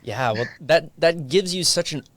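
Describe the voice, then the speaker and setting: A man's voice: a little high, lively intonation, a bit bright. A man hosting a relationship podcast, chatting casually and asking his guest questions. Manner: friendly, asks rapid-fire questions. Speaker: podcast host. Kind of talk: casual interview chat.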